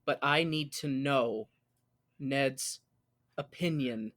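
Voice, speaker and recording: A man's voice: mid-range voice, takes it slowly, a bit bright. An American man co-hosting a casual podcast, often breaking off and rewording as he goes. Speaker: podcast co-host.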